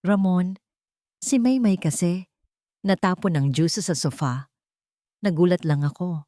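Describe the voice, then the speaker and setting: A woman's voice: fairly low pitch, unhurried, dark tone, no echo, lightly acted. A scheming stepmother from a dramatic audio story, voiced by a woman narrator. She is cold and two-faced, with menace under a sugary front. The sound is dry and close. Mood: feigned sweetness. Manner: menacing, falsely sweet.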